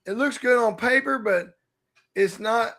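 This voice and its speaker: A man who coaches high school basketball, talking through rules in a thoughtful, searching way on a casual podcast. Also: measured pace, bright, forceful tone. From Oklahoma. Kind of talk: casual sports chat.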